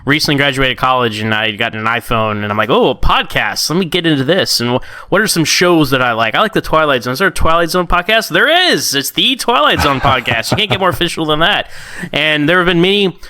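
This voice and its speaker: A man's voice: on the high side, lively intonation, fairly quick, sharp tone. A man in his early thirties chatting casually and enthusiastically as a podcast guest. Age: early 30s. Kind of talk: casual fan chat.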